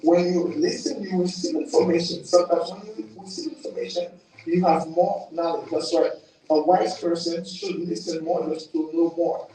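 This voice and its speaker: Man, thoughtful testimonial, warm low tone, picked up from a distance in a boomy room. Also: normal speed. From Haiti. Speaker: program participant. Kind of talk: testimonial interview. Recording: very echoey room, distant mic.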